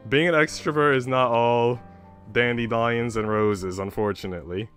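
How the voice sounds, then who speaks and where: A man's voice: medium pitch, fairly even intonation, unhurried, fairly bright tone, clean tone. A man hosting a livestream, talking casually and candidly to his viewers in a chatty way with some casual swearing. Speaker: livestream host. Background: background music.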